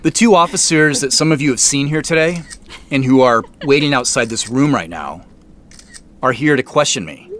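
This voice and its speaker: An actor playing a contrite middle-aged company founder and CEO, speaking in a measured, formal way with deliberate pauses in an audio drama. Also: male voice, medium pitch, expressive, a bit bright, a little hiss or hum, close to an everyday voice. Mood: sincere, decisive. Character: earnest, contrite male company founder and CEO addressing staff. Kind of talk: naturalistic audio drama acting.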